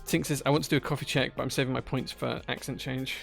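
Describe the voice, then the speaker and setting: A man's voice: medium pitch, steady tone, quick pace, creaky, faint music behind. A man with a Yorkshire accent chatting casually on a live stream, reading out viewer messages with a jokey, rambling manner. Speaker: streamer building keyboards.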